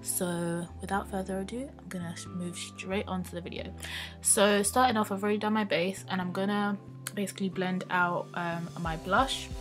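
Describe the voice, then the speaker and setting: Casual woman, lower voice, croaky morning voice-over of a makeup look, faint music under it. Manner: relaxed, chatty, with many ums and likes. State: croaky, just woken up. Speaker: beauty vlogger.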